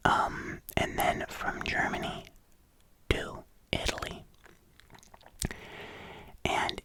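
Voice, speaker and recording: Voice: very slow, pushed and bright, no room sound. A young adult ASMR creator whispering a rambling voiceover into a binaural mic, congested, with clicky mouth sounds and many fillers. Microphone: binaural microphone.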